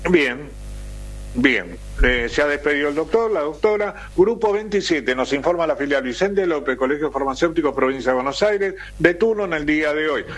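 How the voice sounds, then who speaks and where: A man's voice: on the high side, expressive, moderate pace. A man in his seventies with an Argentine Spanish accent, hosting local radio, chatty and jokey, reading announcements and musing. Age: 70s. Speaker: radio host.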